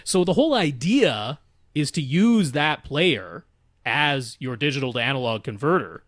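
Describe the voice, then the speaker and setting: A man speaks in a medium register, close and free of room echo. He explains technical matters step by step, in the relaxed conversational style of a podcast co-host, careful to spell out details. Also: mid-range voice, unhurried.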